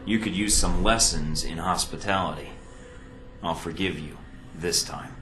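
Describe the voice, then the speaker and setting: A man's voice: deep voice, unhurried, echoey room, music in the background. A man playing a cocky, sardonic secret agent recruit in a video game, with a dry, wisecracking delivery. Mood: annoyed.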